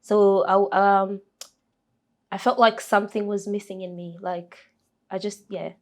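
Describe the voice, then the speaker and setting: A young woman from Kenya with a Kenyan accent talks through her story in a relaxed interview. She speaks softly and hesitantly, with many fillers and restarts. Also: mid-range voice, a little slow. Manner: soft-spoken, frequent fillers and restarts. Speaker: interview guest.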